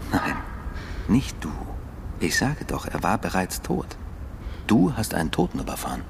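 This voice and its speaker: Radio drama husband, worn down and confessing, deep creaky voice, deliberate pauses, noisy backdrop. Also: male voice, very animated, exaggerated voice. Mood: regretful, insistent. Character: weary husband returned after years of captivity, confessing. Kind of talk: naturalistic German radio drama acting.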